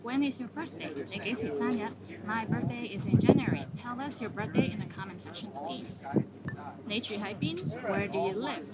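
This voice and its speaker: A woman hosting a casual language lesson, saying each phrase slowly and then explaining it in English. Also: medium pitch, animated. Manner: explains phrases in English between slow Cantonese examples. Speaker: language teacher. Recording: background noise.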